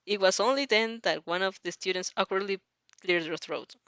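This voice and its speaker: A woman's voice: medium pitch, normal speed, a bit bright, no echo. Woman reading fanfic, informal and giggly. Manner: giggly, stumbling over lines. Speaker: fanfic reader. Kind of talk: informal story narration with asides.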